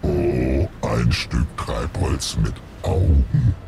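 An actor playing a huge, hungry, sardonic sperm whale with a gravelly, drawling, deadpan delivery in a children's radio play. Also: extremely slow, exaggerated voice. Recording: loud rumbling noise over the voice.

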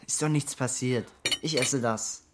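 An actor playing a confident, sporty sixteen-year-old big brother in a radio play, breezy and encouraging. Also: male voice, medium pitch, unhurried, noisy background, lightly acted. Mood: breezy, defiant.

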